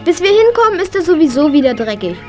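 A woman playing a spirited, eager young girl in a children's radio play, lively and cheeky. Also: very high voice, quick pace, soft background music. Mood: brisk. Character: spirited, eager young girl who loves her pony. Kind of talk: 1980 German children's radio play acting.

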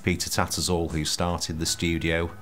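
Very deep, flat-toned man. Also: normal speed, faint music behind. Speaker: interviewed archive owner. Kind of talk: factual interview account.

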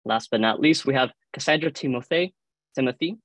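A man with a Cuban accent reads formal introductions as a panel moderator. His pitch is average and the video-call sound is dry, with little room echo. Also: brisk, fairly bright tone. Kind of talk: formal scripted introductions, reading biographies. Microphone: video call microphone.

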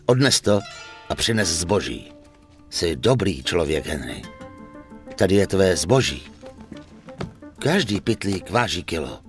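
Low, formal crime boss. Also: male voice, big pitch swings, soft background music. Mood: courteous, businesslike. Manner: measured, polite, businesslike. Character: formal, courteous middle-aged male Chinese crime boss. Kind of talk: dubbed video game acting.

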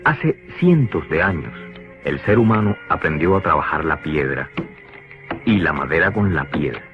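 A man with a low voice narrates an educational cartoon with lively, varied intonation. He explains in a didactic way over clear music, on a dull lo-fi soundtrack. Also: moderate pace. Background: clear background music.

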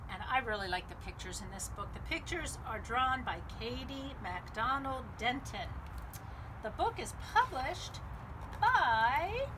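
A middle-aged woman hosting a children's storytime, reading a picture book aloud in a lively, sing-song way with deliberate phrasing. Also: high-pitched, background noise. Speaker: library storytime host. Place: outdoors on a home patio.

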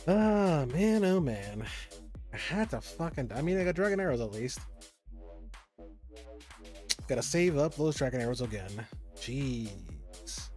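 A man's voice: mid-range voice, very animated, unhurried, pauses often, darkish tone, soft background music. A young man streaming a video game, chatting casually with viewers, rambling and stopping and restarting, with the odd curse. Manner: rambling, stop-start, swears under his breath. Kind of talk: casual live-stream chat while gaming.

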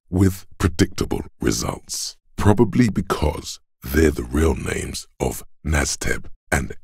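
A man voicing the cold, analytical inner voice of logic in a detective's mind for a video game, with measured, dry, condescending delivery and a very deep, gravelly, husky tone. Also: darkish tone, no echo, heavily acted. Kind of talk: video game narration in second person.

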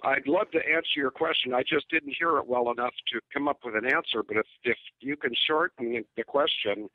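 An older man with an Upper Midwest American accent speaks over a thin, tinny cell phone line. His voice sits at an ordinary middle pitch, dry and close. He talks emphatically and deliberately, tossing in mocking asides. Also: no room sound. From United States. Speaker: guest. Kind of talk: phone-in interview.

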